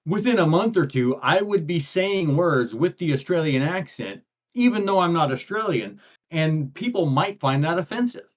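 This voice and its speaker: A man's voice: on the high side, expressive, moderate pace. An older man with grandkids, co-hosting a casual podcast chat and rambling on, often stumbling and repeating words before he gets a thought out. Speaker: podcast co-host. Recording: dull lo-fi audio.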